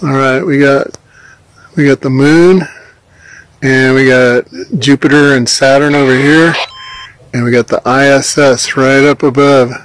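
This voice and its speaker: A man's voice: mid-range voice, fairly even intonation, measured pace, long pauses, rough recording. A man narrating a casual outdoor vlog, informally thinking aloud as he explains his radio hobby, recorded outdoors on a handheld phone. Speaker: amateur radio hobbyist filming his outing. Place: outdoors on an open hillside at dusk. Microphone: handheld phone held by the speaker.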